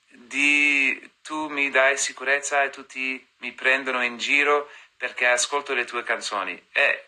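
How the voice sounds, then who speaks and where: A man in his thirties with a very bright, sharp-edged voice pitched in an ordinary middle range. He chats loosely into a phone, reading out fan questions and answering them, sliding from one language to another without pause. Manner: switches between languages mid-answer, reads comments then replies. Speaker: singer answering fan comments on a live stream. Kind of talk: casual live-stream chat, reading fan questions aloud.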